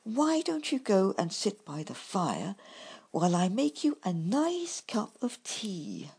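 A woman voicing a gentle, worried male miniature badger in a storybook reading. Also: mid-range voice, big pitch swings, slow pace, vocal fry, slightly rough, exaggerated voice. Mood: concerned. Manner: kindly, worried, soft-spoken. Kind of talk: storybook character voice.

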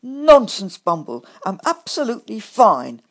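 A woman's voice: on the high side, wildly swooping pitch, slow, slightly rough, voice pushed up, full character voice. A woman voicing a boastful, snappish male rat who calls himself a super rat. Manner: snappish, pompous.

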